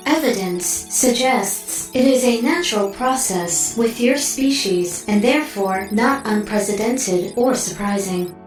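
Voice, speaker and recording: An actress playing a coolly clinical artificial intelligence in an audio drama, speaking in precise, formal, detached phrases. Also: female voice, medium pitch, unhurried, hardly any pauses, echoey room, far from the mic, soft background music, lightly acted, poor audio. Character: coolly clinical female artificial intelligence delivering blunt data.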